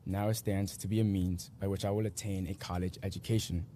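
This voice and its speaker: A young man in his late teens, a native Spanish speaker from Guatemala, reading his personal essay aloud in earnest, measured short phrases at a school graduation ceremony. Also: sits low, level tone, mellow, a little hiss or hum.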